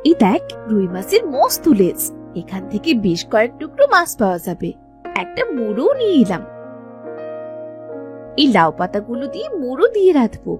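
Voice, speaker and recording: A woman voicing a caring, fussing elder sister, a poor young village woman in an animated moral story. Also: fairly high pitch, moderate pace, music in the background. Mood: brisk, fussing. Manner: expressive, fussing, motherly.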